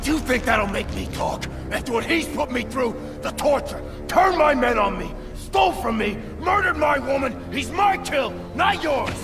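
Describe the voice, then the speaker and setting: An actor playing a bitter, defiant, snarling crime boss out for vengeance in a video game. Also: male voice, extremely high pitch, expressive, normal speed, hardly any pauses. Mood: furious. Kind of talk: video game cutscene acting. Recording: loud music over the voice.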